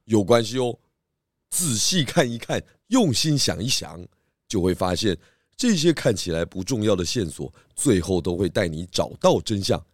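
A man with a Taiwanese accent voicing a clever, calm pig detective in a children's audio drama, patiently explaining clues. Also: lowish voice, moderate pace, dry recording, clean studio sound. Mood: confident explaining.

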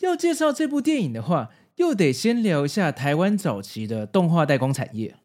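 A man's voice: on the high side, big pitch swings, moderate pace, a little mellow. A man with a Taiwanese Mandarin accent, hosting a podcast with narration and casual commentary. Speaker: podcast host.

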